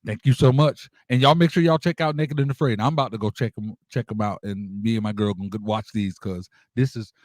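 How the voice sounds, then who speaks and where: An American man hosts a casual interview in a fairly low voice. He is informal and effusive, dropping slang as he goes, and the sound is dry and close. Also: brisk, hardly any pauses, darkish tone, dry recording. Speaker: interviewer, radio show host.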